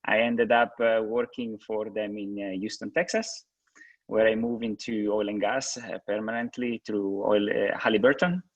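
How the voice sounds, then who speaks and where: A man who grew up in Switzerland, a company executive answering questions in a webinar, talking haltingly with many restarts. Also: on the low side, fairly even intonation, no echo, lo-fi sound. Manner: hesitant, many restarts and fillers. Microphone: video call.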